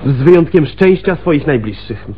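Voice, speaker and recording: A man's voice: on the high side, expressive, moderate pace, lo-fi sound, a little hiss or hum, lightly acted. An actor playing a plain-spoken, deferential junior policeman in a Polish radio play. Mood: sympathetic. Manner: colloquial, deferential.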